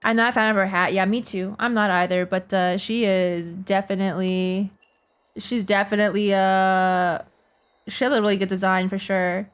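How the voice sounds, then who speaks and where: A woman's voice: medium pitch, fairly even intonation, moderate pace, smooth. A woman streamer giving casual, slangy, jokey reactions during a game playthrough, often repeating herself. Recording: faint game music behind. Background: game music.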